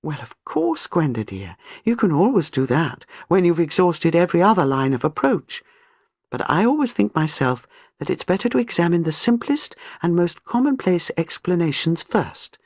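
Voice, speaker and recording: A woman reading an audiobook, voicing a calm, kindly elderly woman who talks softly and patiently. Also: lowish voice, normal speed, no echo, studio quality. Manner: soft, soothing, patient.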